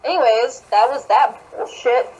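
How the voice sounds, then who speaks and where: A woman's voice: fairly high pitch, moderate pace, darkish tone, husky, low-quality recording. A woman co-hosting a podcast in casual banter, sarcastic and sweary, with some room noise behind her. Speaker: podcast co-host.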